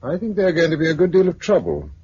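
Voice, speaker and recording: Muffled hissy 1950s radio recording, laconic young British man, practical, lively intonation. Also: on the high side, fast talker. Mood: matter-of-fact. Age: 20s. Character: practical, laconic young Englishman, a triffid grower.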